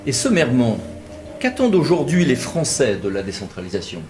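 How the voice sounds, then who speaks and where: A man's voice: medium pitch, huge pitch swings, a little fast, noisy background. A man presenting and putting questions to a guest in a conversational style, setting out his words phrase by phrase. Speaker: interviewer and presenter.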